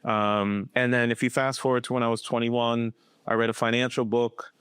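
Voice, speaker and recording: A man in his fifties from Boston, telling his life story as a podcast guest in a reflective, measured way. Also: mid-range voice, little pitch movement, a bit bright, smooth, no echo, clean studio sound. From Boston, USA. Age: 50s. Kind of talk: casual conversational interview.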